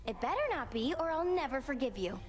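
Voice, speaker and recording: Game role: bratty troublemaker goddess, very high voice, sarcastic, petulant, with long reverb. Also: female voice, animated, quick pace, a little hiss or hum. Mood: scornful, petulant. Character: bratty, spiteful goddess of strife, sarcastic and whiny. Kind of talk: video game voice acting.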